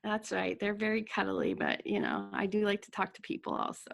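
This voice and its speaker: Middle-aged woman author as guest; average pitch, friendly chatter, frequent laughs. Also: sing-song, a little fast, creaky. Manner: chatty, laughs often, self-deprecating. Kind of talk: casual interview chat.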